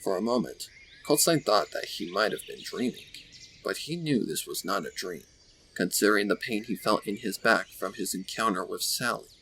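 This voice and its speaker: A man reading scripted story narration with deliberate, dramatic phrasing. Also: lowish voice, expressive, a bit bright, low-quality recording. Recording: faint background noise with birdsong.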